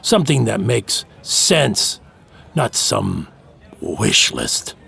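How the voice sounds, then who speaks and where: A sardonic man in an audio drama, low and very gravelly. He dismisses people with swinging, drawled delivery and refuses to be pushed. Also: very animated, extremely slow, bright, forceful tone, a little hiss or hum, exaggerated voice. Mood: firm, sardonic. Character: wry, dismissive insider man who won't be pushed.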